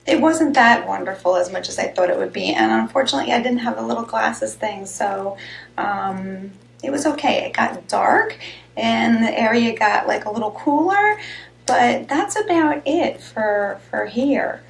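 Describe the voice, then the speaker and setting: A woman with a raspy, slightly hoarse voice chats loosely with viewers on a live stream. Her voice sits a bit high and turns gravelly as it drops. The room around her is echoey. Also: animated, normal speed. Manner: chatty, rambling, greets viewers by name. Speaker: host of a live health show. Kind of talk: casual live-stream chat.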